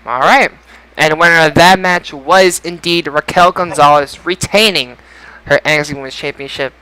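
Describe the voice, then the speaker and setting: A man with a fairly high, bright voice hosts a casual podcast results show. His tone is clean and smooth as he talks through the outcomes of matches. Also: normal speed, rough recording. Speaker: podcast host. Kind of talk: casual sports results discussion.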